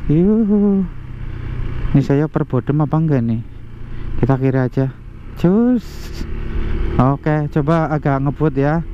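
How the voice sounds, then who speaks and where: A man chatting excitedly to viewers while riding a motorbike outdoors, recorded on a bike-mounted action camera. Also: fairly high pitch, unhurried, long pauses. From Central Java, Indonesia. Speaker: motovlogger. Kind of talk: casual ride-along motorcycle review. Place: outdoors on a moving motorbike, quiet residential streets. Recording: muffled action-camera audio with light road noise.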